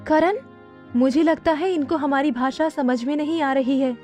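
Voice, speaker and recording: A tiny, timid cartoon bird companion played by a woman with an extremely high voice. She sounds earnest and fretful, with faint music underneath. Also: moderate pace, darkish tone, natural voice. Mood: worried.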